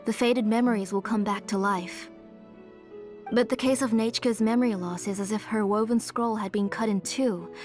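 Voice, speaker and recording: Woman as a higher-voiced, sorrowful game mother. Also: lightly acted. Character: sorrowful, gentle, devoted mother and retired craftswoman. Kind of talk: dramatic video game voice acting.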